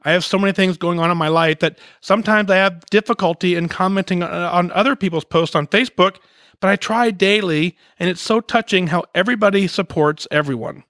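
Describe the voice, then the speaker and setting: A man hosting a podcast, reading a listener's letter aloud in an earnest, measured way, letting sentence endings fall softly. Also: high voice, dry recording, clean studio sound. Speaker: podcast host.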